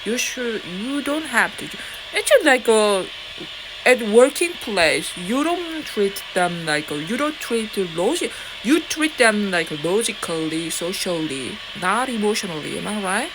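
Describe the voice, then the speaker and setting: A woman's voice: mid-range voice, measured pace. A woman with a Korean accent talking straight to her viewers in a rambling, defiant way, swearing and full of fillers and restarts. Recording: loud steady background noise.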